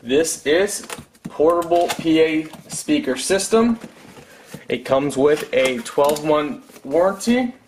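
A man's voice: on the high side, animated, slow, slight background noise. A man in his thirties doing a casual product unboxing review, talking as a reviewer at home. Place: room at home.

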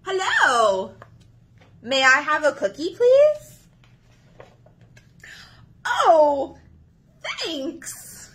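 A woman's voice: sits high, big pitch swings, drawn-out words, long pauses, a bit bright, exaggerated voice. A woman voicing a small, polite, innocent duckling from a picture book. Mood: polite, sweet. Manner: sweet, simple, polite.

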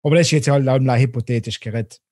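A man in his thirties with a South Tyrolean German accent, hosting an interview over a video call from a small dim room, talking haltingly with many restarts. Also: medium pitch, a little mellow, no room sound, clean studio sound. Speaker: host. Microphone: video call webcam.

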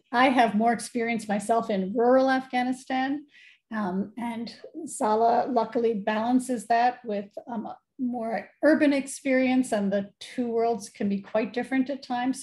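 Middle-aged female seminar presenter, soft-edged mellow voice, careful delivery on a video call. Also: a little high. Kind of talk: introducing an online seminar presentation. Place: indoor room, video call.

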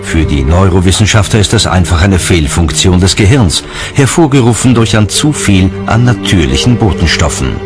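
A man's voice: deep voice, expressive, normal speed. A man reading scripted documentary narration as the narrator. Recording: music bed under narration.

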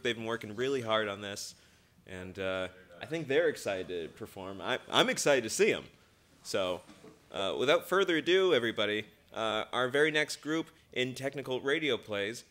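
A man's voice: medium pitch, fairly bright tone. A twenty-year-old man hosting a student radio theater show, rambling and joking in a self-deprecating way. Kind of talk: ad-libbed emceeing with jokes. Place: small studio. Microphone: standing microphone.

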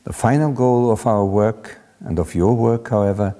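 An older man giving a deliberate, solemn formal speech as an academic at a lectern. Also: on the low side, dark tone. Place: lecture hall at a lectern.

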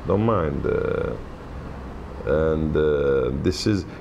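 A middle-aged man answering interview questions from his office, slowly and hesitantly, with many fillers. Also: very deep voice, lively intonation, vocal fry, highs cut off, noisy background. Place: office by a window.

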